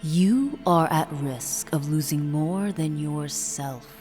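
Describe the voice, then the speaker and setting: A woman playing a cold, imperious cult leader in an audio drama, speaking in a measured, solemn, menacing way. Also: low voice, darkish tone. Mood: stern, cold. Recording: long hall reverb. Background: eerie horror music.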